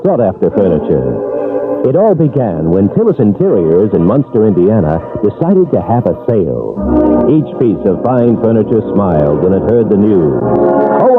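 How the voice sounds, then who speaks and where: A man reading a commercial as a mock-dramatic, tongue-in-cheek storybook tale. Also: fairly low pitch. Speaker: commercial announcer. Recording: tinny old radio ad, loud music. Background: music.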